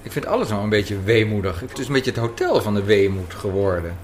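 A man's voice: fairly low pitch, a little fast. A man interviewing someone conversationally in a café, musing as he asks his questions. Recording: faint café background noise.

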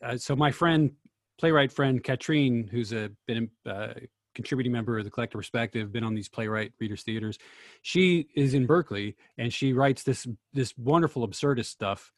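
A man hosting a casual discussion, asking questions in a rambling, halting way and correcting himself as he goes. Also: medium pitch, no echo, clean studio sound.

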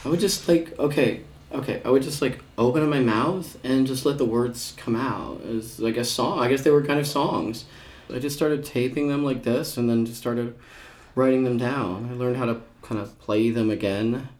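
A woman's voice: extremely low pitch, moderate pace, darkish tone. An actress playing a wry, self-doubting middle-aged woman songwriter in a naturalistic comedy radio play, rambling and hesitant. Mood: wry, casual.

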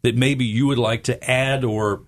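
A man's voice: mid-range voice, fairly even intonation, a little slow. A male host guiding an interview in an easy, conversational way. His voice sits mid-range, and his questions run long and wander before they land. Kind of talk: radio interview hosting. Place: studio room.

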